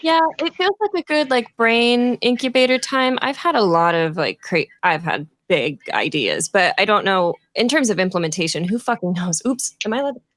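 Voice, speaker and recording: A woman's voice: mid-range voice, expressive, normal speed, hardly any pauses, fairly bright tone, no echo. Female host, informal live stream chat, loose and apologetic, mid-range voice, dry sound. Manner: informal, apologetic, swears casually. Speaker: host relaying audience questions.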